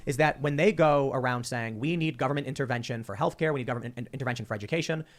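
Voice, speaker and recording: Fast-talking man hosting a chat show. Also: medium pitch. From Chicago. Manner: rapid, animated, repeats words when starting sentences. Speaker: podcast host. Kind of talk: casual talk-show chat.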